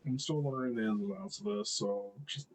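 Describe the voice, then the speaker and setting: A man with a deep voice giving casual gameplay commentary, thinking aloud with lots of hesitant ums and restarts. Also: very animated, brisk, slight background noise. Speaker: gameplay video creator.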